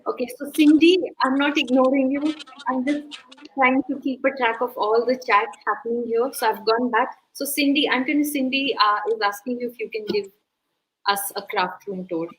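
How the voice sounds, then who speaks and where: A woman's voice: high voice, moderate pace, breathy edge, rough recording. A woman co-hosting a casual live chat, easygoing, reading viewer questions aloud and answering them. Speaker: co-host.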